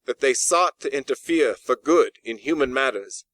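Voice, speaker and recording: Bright, forceful male storyteller. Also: medium pitch, lively intonation, normal speed, no echo. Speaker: audiobook narrator. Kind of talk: scripted dramatic story narration. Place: close, dry in-house recording.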